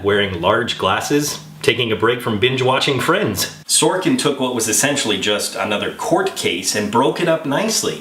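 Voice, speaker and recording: In a roomy, echoing space, a man with a medium-pitched voice presents a scripted film review. He hosts with opinionated emphasis and a wry edge. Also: a bit bright. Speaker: film reviewer and show host. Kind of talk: scripted video review commentary.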